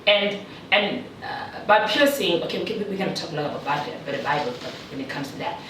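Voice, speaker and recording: A woman from South Africa chats casually as an interview guest, animated and quick to laugh. Her voice sits on the lower side. She is recorded from some distance in a very echoey room with faint noise. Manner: lively, laughs often, animated when joking. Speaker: guest, teacher in China.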